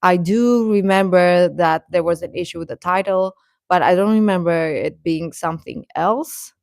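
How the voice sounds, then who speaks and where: A woman hosting a casual, opinionated live chat, speaking haltingly and defensively with repeated words. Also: on the low side, creaky, a little mellow, dry recording, clean studio sound. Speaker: livestream host and commentator.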